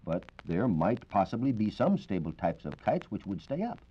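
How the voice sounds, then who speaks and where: An American man explaining science in a measured, precise, formal way as a reporter on a 1940s radio program. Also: fairly low pitch, very animated, slightly rough. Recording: dull 1940s radio recording.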